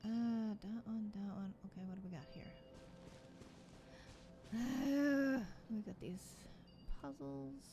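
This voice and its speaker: A woman's voice: fairly high pitch, slow, creaky, pauses often, mellow, a little hiss or hum. A woman streamer giving casual commentary as she plays, chatty and muttering to herself while she works through puzzles. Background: game music.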